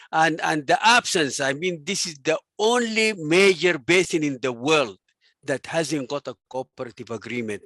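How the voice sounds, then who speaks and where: An earnest man making a measured point on a video call. His voice is bright and a touch high, with a dry, close sound. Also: dry recording. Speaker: professor, forum participant. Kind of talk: remarks in an online forum.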